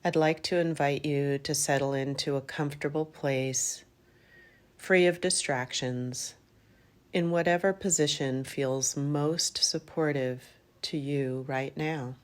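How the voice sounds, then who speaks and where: A woman leading a guided meditation in a calm, gentle manner, in unhurried phrases with long pauses. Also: low voice. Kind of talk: guided yoga nidra meditation.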